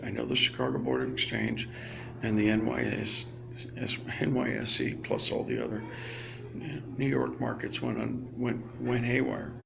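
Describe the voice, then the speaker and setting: A gravelly-voiced man streams live from a library. His talk keeps restarting and drifting into asides, recorded on a phone or laptop with steady noise underneath. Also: medium pitch. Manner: rambling, restarts, trails off into asides. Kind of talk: rambling live stream monologue. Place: public library indoors. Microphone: phone or laptop camera.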